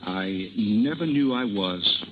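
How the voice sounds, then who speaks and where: An actor playing a roguish, passionate poet-thief with theatrical delivery in a 1930s radio drama. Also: male voice, fairly low pitch, expressive, slow, over music. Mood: pleading. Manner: theatrical, impassioned. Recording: dull 1930s radio broadcast.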